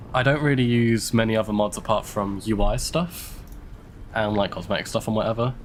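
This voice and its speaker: Stuffy-voiced London streamer. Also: male voice, on the low side, slight background noise. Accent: London English. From United Kingdom. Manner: chatty, laughs often, thinks aloud. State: stuffy from illness. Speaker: live streamer. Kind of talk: casual gameplay commentary.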